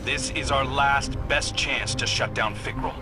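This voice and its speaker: A man voicing a steady, encouraging mission handler in a video game. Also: medium pitch, a little slow, over loud music, lightly acted. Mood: urgent, encouraging. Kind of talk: video game radio-style mission dialogue.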